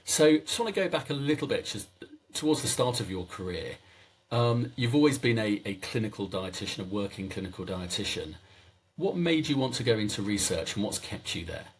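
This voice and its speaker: Deep-voiced man hosting a podcast. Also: expressive, breathy edge. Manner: enthusiastic, halting, many ums. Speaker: podcast host. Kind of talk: podcast interview. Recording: slightly distant mic with faint noise.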